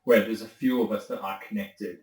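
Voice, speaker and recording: A man's voice: fairly low pitch, fairly even intonation, brisk, a little mellow, echoey room, far from the mic. A man guest talking casually about voice acting, rambling and correcting himself as he goes. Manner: rambling, self-correcting, chatty. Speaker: voice actor guest. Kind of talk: casual conversation.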